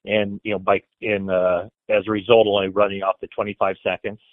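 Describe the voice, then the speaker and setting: A man's voice: on the low side, little pitch movement, fairly quick, no room sound. A man working as a sports reporter, putting questions on a press call in a hesitant, stop-start way. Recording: dry phone-like conference call line.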